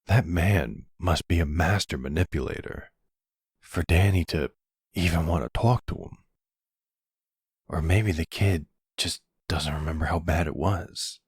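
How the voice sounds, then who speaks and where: A man playing an older mafia boss, a protective and tender lover, speaking soothingly in an intimate ASMR boyfriend roleplay with a gravelly, breathy voice. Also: sits low, dry recording, studio quality, exaggerated voice. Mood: troubled, consoling. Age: middle-aged. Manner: soothing, unhurried, full of pet names.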